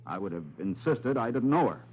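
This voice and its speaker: An actor playing a cold, scheming murderous husband in a 1940s radio drama, matter-of-fact and callous. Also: male voice, medium pitch, big pitch swings, fairly quick, slight background noise. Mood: callous, resigned. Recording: dull vintage radio recording.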